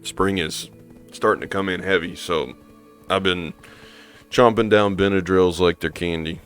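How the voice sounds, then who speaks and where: A hoarse man playing a game and thinking aloud in a rambling, casual way, with fillers and restarts. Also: deep voice, fairly even intonation, measured pace, fairly bright tone, faint music behind. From American Midwest. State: hoarse from allergies. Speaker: gaming streamer. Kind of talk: casual gameplay commentary.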